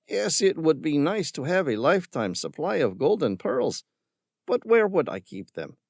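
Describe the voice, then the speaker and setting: A man reading an audiobook puts on a greedy villain with a gun, sarcastic and patronizing, with an affected Spanish-tinged lilt. The voice sits well above the reader's own and swoops lively as it mocks. It is recorded close and dry. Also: a little high, moderate pace, vocal fry, dry recording, voice pushed up, heavily acted. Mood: sneering. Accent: affected Spanish-tinged accent. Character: sneering, greedy male villain caretaker with gun. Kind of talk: audiobook character voice.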